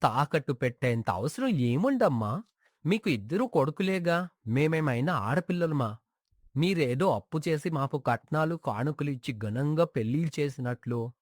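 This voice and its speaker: A man's voice: a little high, lively intonation, moderate pace, no room sound, studio quality. A man playing a callous, greedy grown son, blunt and complaining, in a dramatized moral story. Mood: indignant.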